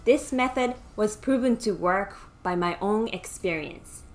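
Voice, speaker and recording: A woman's voice: mid-range voice, unhurried, faint music behind. Japanese-accented woman giving earnest advice. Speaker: nurse practitioner mentor. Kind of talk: scripted educational talk.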